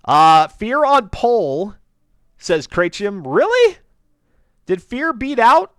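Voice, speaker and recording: A man's voice: high voice, very animated, takes it slowly, fairly bright tone, smooth, no echo, studio quality. A man commentating live on a racing stream as its host, in folksy, chatty announcer patter with jokey asides. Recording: racing car noise behind the voice. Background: car engines and race ambience.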